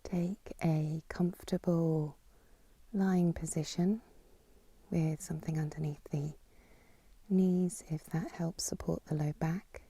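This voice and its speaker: Female meditation teacher, lowish soft breathy voice, calm and unhurried, close dry sound. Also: dry recording. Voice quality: soft, breathy, half-whispered. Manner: calm, soothing, unhurried with long pauses. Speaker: yoga nidra teacher. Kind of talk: guided meditation, slow spoken instructions.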